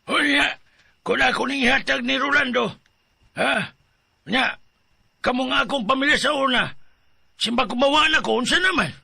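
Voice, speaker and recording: A man playing an insistent, aggrieved elderly grandfather with a rough, gravelly voice in an audio drama. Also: extremely high pitch, normal speed, pauses often, sharp tone, no room sound, exaggerated voice. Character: insistent elderly grandfather quarrelling over family land.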